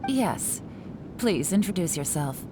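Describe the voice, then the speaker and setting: A woman's voice: fairly low pitch, very animated, slow pace, noisy background. A woman playing a polite, probing assessor in a video game, formal and direct. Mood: businesslike. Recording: music playing over the dialogue.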